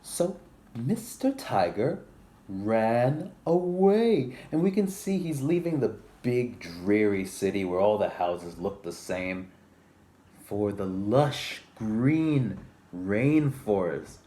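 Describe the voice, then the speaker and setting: A man presents a picture book for children at an unhurried pace. His pitch moves widely as he reads, with a genteel, theatrical manner and little asides to his young listeners. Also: sing-song, mellow. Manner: polite, genteel, theatrical delivery. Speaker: library storytime presenter.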